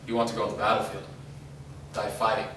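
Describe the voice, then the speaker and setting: A man acts a grave, undying leader in a staged drama reading, controlled and menacing. The low, brisk voice sounds far from the mic in a heavily echoing room. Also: little pitch movement, lightly acted. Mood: grave, earnest. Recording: distant, very echoey, noisy room recording.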